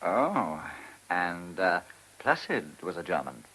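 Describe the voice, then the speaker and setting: A man's voice: deep voice, big pitch swings, takes it slowly, pushed and bright, breathy edge, full character voice. An actor playing an affable, inquisitive male police sergeant in a radio play, chatty and informal. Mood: curious. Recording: noisy old radio recording with clicks.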